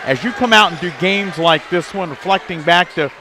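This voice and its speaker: A man with an American accent and a fairly high voice hosts a pre-game radio show, reading sponsors and setting up the game. A faint crowd and some gym noise are behind him. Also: normal speed. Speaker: sports radio host. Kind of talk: pre-game broadcast hosting with sponsor reads. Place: basketball gym.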